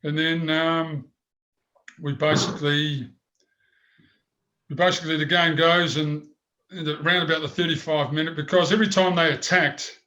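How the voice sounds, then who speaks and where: A man's voice: on the high side, little pitch movement, moderate pace, pauses often, bright, forceful tone, poor audio. An older man with a big voice, telling rambling stories in an interview. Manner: rambling anecdotes with many restarts. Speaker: former goalkeeper, guest. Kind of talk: storytelling interview.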